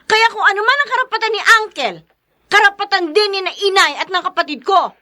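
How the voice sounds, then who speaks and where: A woman playing a feisty, dutiful breadwinner wife in a radio drama, with an emphatic, expressive delivery. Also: very high-pitched, a little fast. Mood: indignant, defiant.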